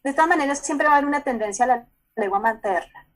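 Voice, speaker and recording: A Colombian woman psychologist speaks over a video call in a somewhat high voice. She explains at an easy conversational pace, repeating words and often pausing to check that the listener follows. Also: animated.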